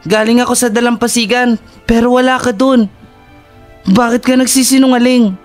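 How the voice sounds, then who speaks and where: A voice actor playing a caring young man who gently questions a friend. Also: mid-range voice, steady tone, normal speed, natural voice. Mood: concerned. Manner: gently prying, concerned. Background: faint music.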